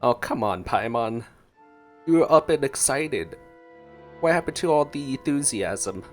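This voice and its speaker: A man's voice: mid-range voice, animated, measured pace, soft background music. A man streaming a game with casual, playful reaction commentary, talking back to the characters. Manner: playful, reads along and talks back to characters. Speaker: gaming streamer.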